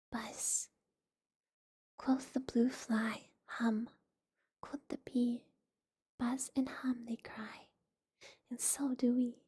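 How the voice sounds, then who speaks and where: A woman whispering a storybook aloud as a slow, gentle ASMR reading on a live stream. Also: a little high, husky.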